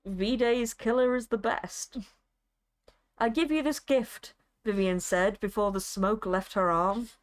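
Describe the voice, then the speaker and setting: A woman's voice: mid-range voice, slow pace. A woman reading a story aloud in a theatrical, actorly dramatic style, as a streamer would. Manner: actorly, breaking into amusement at the absurd text.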